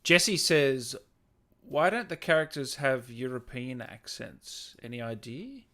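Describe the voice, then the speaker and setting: A man's voice: medium pitch, lively intonation, slow, a crowd in the background. A man hosting a casual interview, chatting and relaying questions from viewers. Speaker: host, interviewer. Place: remote video call.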